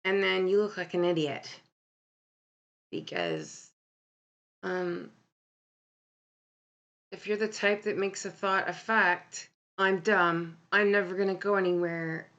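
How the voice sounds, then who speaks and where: A woman with a deep voice hosting a casual live stream, talking candidly and bluntly with many ums and self-corrections. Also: animated, slow pace, long pauses, a bit bright, lo-fi sound. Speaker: livestream host. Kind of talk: casual live-stream talk about mental health.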